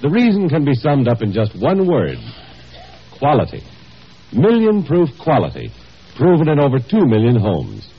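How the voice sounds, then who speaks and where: Male 1950s radio ad announcer, lively intonation. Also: medium pitch, normal speed, husky. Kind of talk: 1950s radio advertising read, scripted. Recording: muffled old 1950s radio broadcast.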